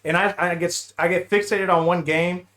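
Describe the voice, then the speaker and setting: A man's voice: high-pitched, steady tone, a little fast, a bit bright. A man chatting casually as a podcast guest, slangy and repetitive, often saying bro and man. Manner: slangy, repeats himself, peppers talk with bro and man. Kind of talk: casual gaming chat.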